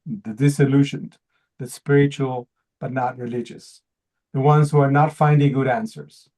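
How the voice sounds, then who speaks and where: A man's voice: mid-range voice, dark tone. A man whose first language is Hebrew gives an earnest presentation, often repeating words as he looks for the phrasing. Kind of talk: pitch presentation to an audience.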